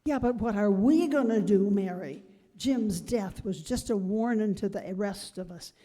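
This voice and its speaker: A woman's voice: medium pitch, measured pace, dark tone, lightly acted. A woman playing a worried, timid street beggar in a 1930s radio drama, heard in a reverberant space. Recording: reverberant hall sound.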